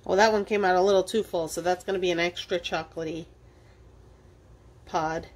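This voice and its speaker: A woman's voice: on the low side, fairly even intonation, a little fast. A woman hosting an informal step-by-step cooking tutorial, explaining casually as she works with her hands at a kitchen counter. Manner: casual, explanatory, talking while working with hands. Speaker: cooking demonstrator, host of a library cooking show.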